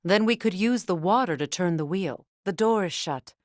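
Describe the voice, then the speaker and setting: A woman's voice: fairly low pitch, lively intonation, moderate pace, no echo, lightly acted. A woman plays a warrior goddess from a fantasy video game, grave and composed. Her lines are formal and earnest, delivered as dramatic dialogue in a close, dry recording. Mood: measured, serious. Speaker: video game character voice actress.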